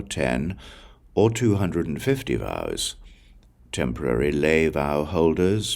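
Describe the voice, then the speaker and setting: Male audiobook reader for Buddhist scripture: rock-bottom pitch with lively movement, solemn and measured delivery. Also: gravelly.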